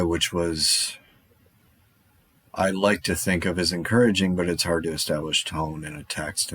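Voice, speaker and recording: A man's voice: a bass voice, fairly bright tone, husky, no room sound, heavily acted. An actor playing a lone man surviving a zombie apocalypse, talking in a rambling, darkly wry monologue for an audio drama.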